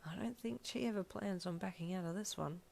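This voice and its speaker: A woman voicing a gentle, doting father in a story, soft and coaxing. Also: medium pitch, expressive, moderate pace, voice pushed up. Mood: amused. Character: gentle, doting young father, quietly amused.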